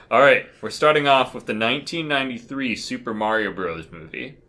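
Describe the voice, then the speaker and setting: A man's voice: medium pitch, normal speed, bright, forceful tone. A man co-hosting a podcast, telling anecdotes in casual, amused, jokey conversation. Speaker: podcast co-host.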